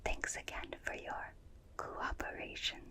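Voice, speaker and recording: Whispering, slow, polite alien examiner played by a woman. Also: close to an everyday voice. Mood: gentle, soothing. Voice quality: breathy whisper. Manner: slow, soothing, precise, formally polite. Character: polite, calm alien examiner studying a small human. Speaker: ASMR performer. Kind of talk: whispered ASMR roleplay with personal attention. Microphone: close binaural ASMR microphone.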